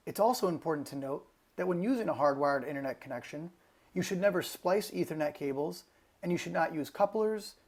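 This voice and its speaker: A man reading scripted instructional narration as a technical trainer, explaining each step clearly. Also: fairly high pitch.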